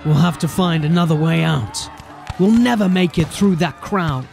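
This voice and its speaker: A stubborn watch sergeant in a fantasy audio drama. His delivery is gruff and blunt, pitched fairly high for a man, with music behind him. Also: moderate pace, a little mellow, a faint crowd. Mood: urgent. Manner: blunt, urgent.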